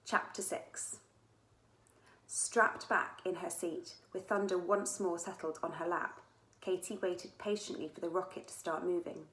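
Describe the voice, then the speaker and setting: A woman reading a children's story aloud as its author, in an expressive storytelling manner. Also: lowish voice, measured pace. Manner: expressive, with dramatic character dialogue. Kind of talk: scripted children's story narration. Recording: echoey room sound.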